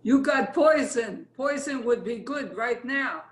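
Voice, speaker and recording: A fatalistic Russian woman in a stage play, played by a woman. She speaks slowly and flatly with a Russian accent, blunt and dour. The recording is roomy and echoing. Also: a little high. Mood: dour, deadpan. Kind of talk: readers theatre stage-play acting.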